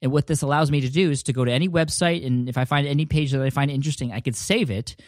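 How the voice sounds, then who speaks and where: A male podcast host speaks into a close studio mic in a mid-pitched voice. The sound is dry. He talks in a friendly, rambling way and restarts sentences as he thinks. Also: steady tone, fast talker, no room sound. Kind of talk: casual solo podcast talk.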